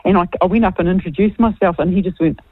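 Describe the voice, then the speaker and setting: A woman's voice: lowish voice, fairly even intonation, quick pace, breathy edge. A woman calling in to a radio show, chatty and self-deprecating, with frequent restarts. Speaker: caller. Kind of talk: casual radio call-in chat. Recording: dull phone-line sound.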